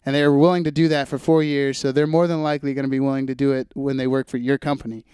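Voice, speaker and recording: A man's voice: medium pitch, little pitch movement, fast, a little mellow, clean tone, no echo, clean studio sound. A man of twenty-five chatting casually as a podcast host, thinking aloud in a wry, hesitant way. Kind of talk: casual conversational podcast chat.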